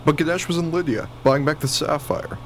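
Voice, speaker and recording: A man's voice: on the high side, fairly quick, close to an everyday voice. A man playing a wry, grumbling, baffled working husband who is short of money, in a radio play. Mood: puzzled. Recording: noisy recording, vehicle-like ambience.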